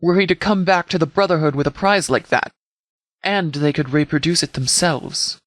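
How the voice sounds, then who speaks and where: A commanding video game sheriff, acted by a man, pitched a little above the usual male range. He speaks in measured, formal sentences with stern authority, like a shrewd negotiator laying down terms. The sound is close and dry, free of room reflections. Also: a bit bright, no room sound, lightly acted. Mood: calm, calculating. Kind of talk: scripted game dialogue.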